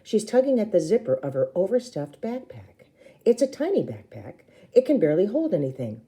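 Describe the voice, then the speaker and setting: Mellow, animated woman reading a storybook. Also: medium pitch, a little fast. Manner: theatrical, acts out dialogue. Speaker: storybook reader. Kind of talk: expressive children's book narration.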